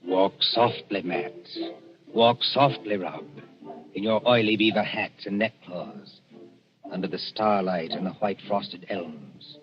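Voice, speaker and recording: An actor playing an ominous, poetic narrator of a macabre tale, hushed and dramatic in 1940s radio style. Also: male voice, deep voice, takes it slowly. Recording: muffled 1940s radio broadcast, loud music.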